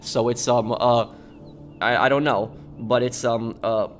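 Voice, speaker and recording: A man's voice: mid-range voice, fairly even intonation, unhurried, faint music behind. A man from New York, live-streaming a game and chatting casually with viewers in a rambling, talkative way. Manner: rambling and talkative, with many restarts.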